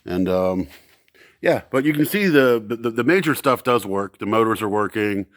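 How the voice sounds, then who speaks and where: Man, lowish pitch, dry sound, casual demo talk, unscripted, halting and rambling. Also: expressive, no echo. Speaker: presenter testing vintage audio gear.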